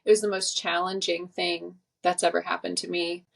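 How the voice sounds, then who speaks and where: A woman's voice: on the low side, little pitch movement, moderate pace, vocal fry. A woman in her twenties, a podcast guest, talking earnestly and reflectively in casual conversation. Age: 20s. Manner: reflective, earnest, many fillers.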